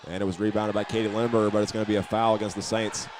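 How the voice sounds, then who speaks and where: A man giving steady, methodical live play-by-play commentary of a high school basketball game. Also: very fast. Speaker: play-by-play announcer. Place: indoor school basketball gym. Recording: live gym broadcast with clear background noise.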